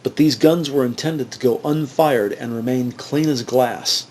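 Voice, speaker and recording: A man narrating a scripted crime drama in a measured, matter-of-fact way. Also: mid-range voice, darkish tone, slight background noise. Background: music.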